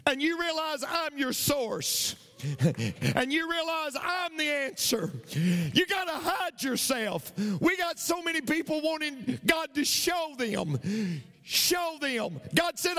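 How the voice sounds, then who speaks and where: Animated preacher in a hall. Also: male voice, very high-pitched. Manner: animated, builds to shouted preaching. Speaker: pastor. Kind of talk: sermon storytelling. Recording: long reverb of a hall.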